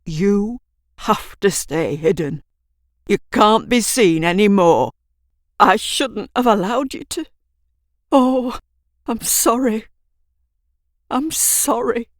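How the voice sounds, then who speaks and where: A woman voicing a distraught, fearful old man in an audio drama, halting and overwrought as he speaks. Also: mid-range voice, expressive, no room sound, studio quality, pitched above the performer's own voice. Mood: anxious, apologetic.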